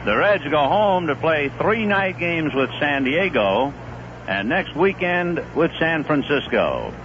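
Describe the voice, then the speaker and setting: A man calling baseball play-by-play on a 1970s radio broadcast, in a steady, conversational manner. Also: takes it slowly. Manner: steady, conversational play-by-play with live pitch calls. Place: stadium broadcast booth. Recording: muffled 1970s AM radio broadcast with faint hiss.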